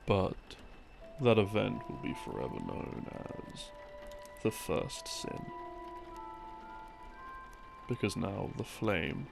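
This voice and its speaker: A man telling a rambling story as a sleep aid, in a halting, musing way with pauses, in the role of a boyfriend explaining things. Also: fairly low pitch, very animated. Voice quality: creaky, gravelly. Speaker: audio roleplay creator playing a boyfriend. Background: background music.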